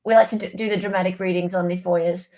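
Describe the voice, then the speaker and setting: A woman's voice: lowish voice, fairly even intonation, fast, a little mellow. An Australian woman hosting a casual live-stream chat and interviewing a guest. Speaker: host.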